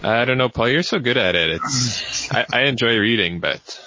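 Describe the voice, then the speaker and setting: A man's voice: mid-range voice, animated, moderate pace, bright, forceful tone, lo-fi sound, slight background noise. A man co-hosting a podcast in casual, easygoing conversation. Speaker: podcast co-host. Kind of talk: casual chat.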